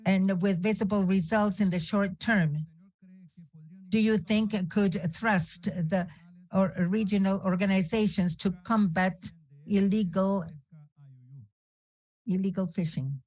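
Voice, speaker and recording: Slow, halting woman moderating a panel. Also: medium pitch, fairly even intonation, a little mellow, lo-fi sound. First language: Portuguese. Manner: halting, non-native phrasing. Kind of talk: conference moderation.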